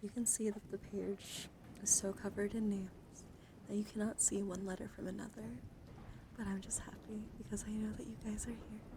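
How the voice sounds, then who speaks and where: A woman hosting an ASMR livestream, chatting casually and gently to viewers in a soft, breathy voice that often drops to a whisper, affectionate and attentive. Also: mid-range voice, little pitch movement, moderate pace, noisy background. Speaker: livestream host.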